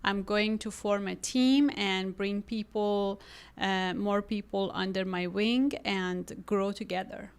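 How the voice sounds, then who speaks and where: A woman's voice: medium pitch, steady tone, slow pace, gravelly, smooth. A woman from Iran with an Iranian accent, speaking conversationally as an interview guest about her work. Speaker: podcast guest, real estate agent.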